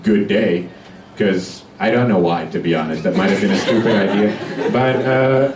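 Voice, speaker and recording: A young man from Hungary doing a conversational, halting stand-up routine with acted-out dialogue, recorded from afar in a small club. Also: on the low side. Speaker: stand-up comedian. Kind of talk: live stand-up comedy routine. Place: small dark comedy club stage, audience present. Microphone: handheld stage mic heard from a far camera. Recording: distant camera recording, echoey club, loud audience noise.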